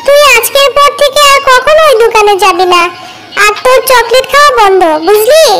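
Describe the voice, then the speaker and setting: A scolding cartoon village mother acted by a woman with a very high voice. She nags sternly, and music plays clearly behind her. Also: fairly quick. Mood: angry scolding. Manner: nagging, stern.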